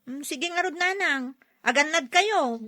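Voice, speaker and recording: Actress as a bright, high, fretting grown child. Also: female voice, a little slow, dry recording, clean studio sound. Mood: anxious. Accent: Ilocano. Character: caring, anxious grown child fretting over mother. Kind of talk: radio drama dialogue.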